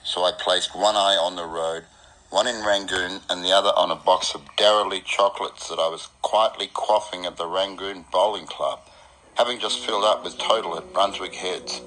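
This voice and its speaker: Male Australian radio-play narrator, low register, wry beat-poet storyteller delivering scripted first-person prose. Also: fairly even intonation, moderate pace, pushed and bright, poor audio. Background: electric guitar music.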